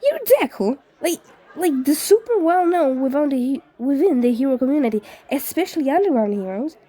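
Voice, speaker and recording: A woman voicing an excitable, encouraging teenage ghost boy in an audiobook-style story, gushing eagerly and tripping over words. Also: sits high, brisk, voice pushed up. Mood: excited, awed.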